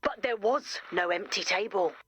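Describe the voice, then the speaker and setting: A woman's voice: mid-range voice, normal speed, a bit bright, lightly acted. A woman voicing a chipper camp counselor in a video game, with an upbeat, theatrical storytelling manner. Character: chipper, theatrical female camp counselor telling spooky stories. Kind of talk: video game character acting.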